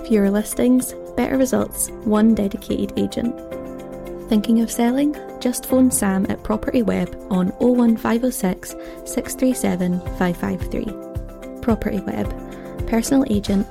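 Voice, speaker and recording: A woman's voice: medium pitch, vocal fry. A woman voicing a scripted radio advert in a polished, upbeat sales read. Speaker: ad voice-over. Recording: voice-over with music bed.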